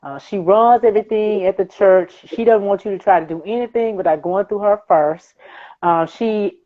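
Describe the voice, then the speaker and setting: A woman's voice: medium pitch, moderate pace. A woman from Mississippi with a Southern US accent, a guest author explaining her work conversationally, earnest and emphatic. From Mississippi, United States. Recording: thin phone-like online call audio.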